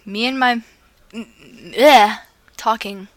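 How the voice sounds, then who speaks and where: A woman's voice: fairly high pitch, fairly even intonation, takes it slowly, fairly bright tone, dry recording. A woman reading fan fiction aloud as a video host, playfully acting out the dialogue.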